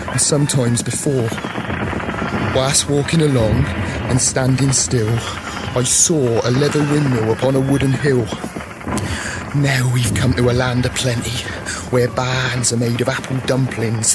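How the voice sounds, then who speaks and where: A man's voice: mid-range voice, flat delivery, measured pace, close to an everyday voice. Casting: male actor as wry, haunted country soldier-mummer, radio play, very noisy recording. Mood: droll declaiming. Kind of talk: radio play acting, mummers' verse recitation.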